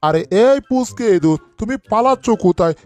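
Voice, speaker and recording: A man's voice: sits high, animated, brisk, a little mellow, faint music behind. A man voicing a grumbling, easily spooked bulldog in a cartoon dub, exclaiming in bewilderment. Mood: indignant.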